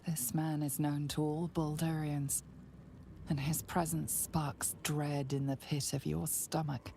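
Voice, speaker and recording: A woman playing the ominous narrator of a dark fantasy video game, telling the story in a hushed scripted style. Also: low voice, little pitch movement, takes it slowly, creaky, slight background noise, full character voice.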